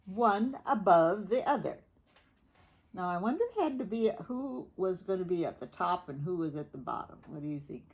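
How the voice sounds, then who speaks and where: A woman reading a picture book aloud to children, gently chatting and stopping to ask the listener questions. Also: on the low side, animated, normal speed, slightly rough. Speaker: storybook reader.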